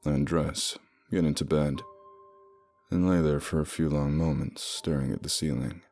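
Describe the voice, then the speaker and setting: A man narrating a first-person soldier horror story in a measured, low storytelling manner. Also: steady tone, vocal fry, a little mellow, faint music behind.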